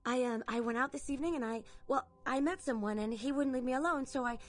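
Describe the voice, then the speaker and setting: A woman's voice: high voice, fast, natural voice. A woman acting a timid, devoted young female servant in a video game, hesitant and stammering, anxious to please. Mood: nervous, eager.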